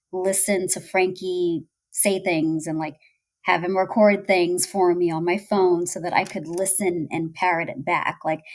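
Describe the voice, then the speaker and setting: Chatty woman with a lowish voice. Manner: chatty, lots of fillers like "like" and "you know". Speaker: cast member recalling filming. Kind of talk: casual podcast chat.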